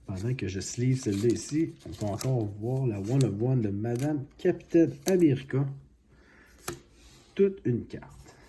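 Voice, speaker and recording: A man hosting a card-opening video, unhurried and excited over big pulls. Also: mid-range voice, a little hiss or hum. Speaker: card collecting channel host. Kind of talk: casual card-opening vlog. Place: home, at a card-opening mat.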